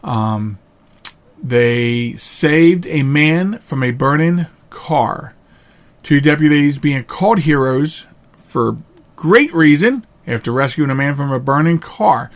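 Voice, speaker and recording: Higher-voiced man hosting a casual podcast. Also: expressive. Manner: halting, with frequent repetition of phrases for emphasis. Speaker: podcast host. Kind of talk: casual unscripted podcast monologue.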